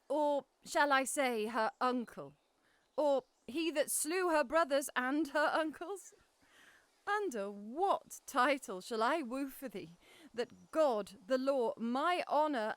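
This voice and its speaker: A woman's voice: sits high, no echo. An actress playing a widowed queen who has lost her sons, answering a king with scornful, defiant retorts in a Shakespearean audio drama. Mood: troubled.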